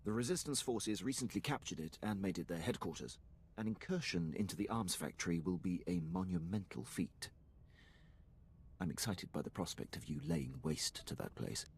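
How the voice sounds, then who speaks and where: A man's voice: low voice, lively intonation, normal speed, a little hiss or hum. A man playing an eager, deferential Imperial military officer in a video game, speaking formally and precisely. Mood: briefing, zealous.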